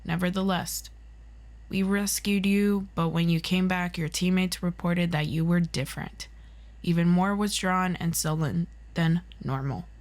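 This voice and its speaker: A stern female agency interrogator, acted by a woman in an audio roleplay. Her voice sits on the low side, and she speaks very slowly, with formal, measured and clipped questioning. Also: fairly even intonation. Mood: cool, probing.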